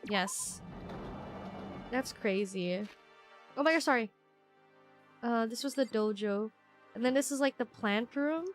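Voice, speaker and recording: A congested woman streaming a video game, reacting excitably with frequent gasps and laughs. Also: a little high, measured pace, long pauses, faint music behind. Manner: excitable, gasping and laughing often. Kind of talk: casual gameplay commentary.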